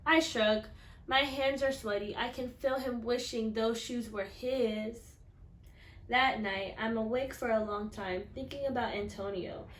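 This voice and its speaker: A woman reading a children's picture book aloud expressively, acting out the dialogue and pausing to put questions to listeners. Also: a little high, fairly bright tone, reverberant, slight background noise. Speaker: read-aloud storyteller.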